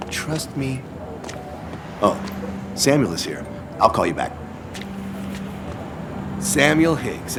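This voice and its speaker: A man's voice: sits low, gravelly, noisy background. A man playing an affectionate, fussy old acquaintance in a video game, effusive and theatrical. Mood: exasperated. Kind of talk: expressive video game acting.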